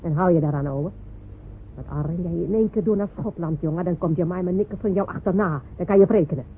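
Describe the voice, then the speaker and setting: A woman's voice: fairly low pitch, fast, close to an everyday voice. Tough, blunt village woman, played by an actress, tinny sound. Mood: stern, threatening. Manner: brusque, scolding, colloquial. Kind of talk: radio drama acting. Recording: tinny, noisy old radio recording.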